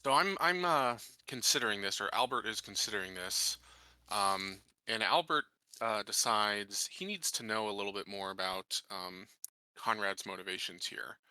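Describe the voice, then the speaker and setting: Forceful, bright-voiced man roleplaying at a tabletop. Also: lowish voice, normal speed, smooth, no echo, poor audio. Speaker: tabletop roleplaying game player. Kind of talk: casual in-character improvised dialogue and game talk.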